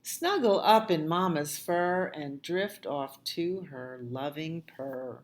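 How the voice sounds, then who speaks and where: A woman hosting a storytime for young children, reading aloud and reciting rhymes in a gentle sing-song way, often dropping to a hush. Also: low voice, expressive, slow. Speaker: children's librarian and storytime host.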